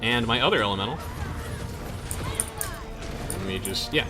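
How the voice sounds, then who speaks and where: A man's voice: fairly low pitch, animated, moderate pace. A young man giving casual, chatty gameplay commentary, explaining things in informal slang as he hosts a let's play. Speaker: gamer hosting a let's play. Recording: game music behind the voice. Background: video game music.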